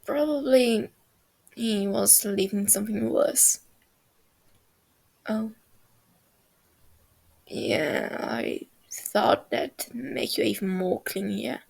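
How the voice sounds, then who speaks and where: A woman voicing a grumpy, blunt young man who speaks low and dry in a storybook reading. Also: very slow, gravelly, pauses often, a little mellow, slightly rough, heavily acted.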